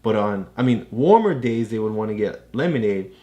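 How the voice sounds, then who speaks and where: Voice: mid-range voice, expressive, moderate pace, dark tone. A parent casually explaining a book to a child, encouraging and repeating points.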